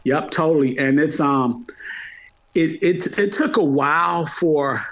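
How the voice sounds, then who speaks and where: A man's voice: on the high side, slow, fairly bright tone, no echo. A middle-aged man chatting casually in an interview, repeating phrases for emphasis. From Atlanta, United States. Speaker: podcast guest, hip hop podcaster.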